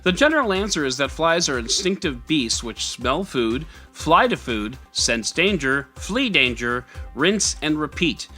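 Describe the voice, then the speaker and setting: A man's voice: on the high side, big pitch swings, measured pace, hardly any pauses, pushed and bright, faint music behind. A man hosting a scripted tech news podcast in a conversational, sarcastic way with wry asides. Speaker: podcast host.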